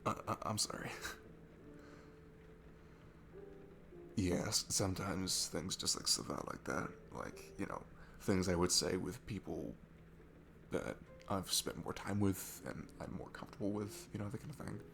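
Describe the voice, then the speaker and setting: A man playing a shy, insecure, sweet guy at a bar in an intimate audio roleplay, talking in a nervous, halting, apologetic ramble. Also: low voice, gravelly, noisy background. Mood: flustered, apologetic. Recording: music behind the voice. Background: music.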